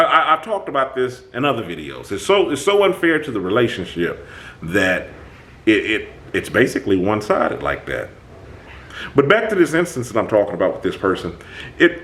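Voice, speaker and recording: A man hosting his own channel, talking through a personal conflict in an emphatic, animated way. His voice is booming, and he often restarts his sentences. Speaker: channel host. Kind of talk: reflective self-talk monologue.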